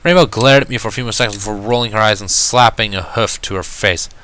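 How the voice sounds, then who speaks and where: A man giving an expressive amateur narration of a fan fiction story. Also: fairly low pitch, fast.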